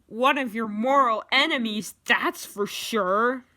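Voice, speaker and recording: A woman voicing a steady, protective male anime warrior who explains things earnestly. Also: fairly high pitch, takes it slowly. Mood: defiant.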